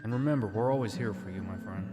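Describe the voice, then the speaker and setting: A man's voice: on the low side, fast, in a large hall, music in the background. A man hosting a casual ASMR livestream, chatting to his viewers into a close studio microphone. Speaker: livestream host and roleplayer. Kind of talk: casual ASMR stream chat. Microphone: close studio dynamic microphone.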